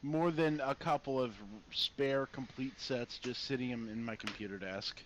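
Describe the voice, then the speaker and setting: A man's voice: mid-range voice, fairly even intonation, moderate pace, dull audio, a little hiss or hum. A man chatting casually as a player in a tabletop game over an online voice call.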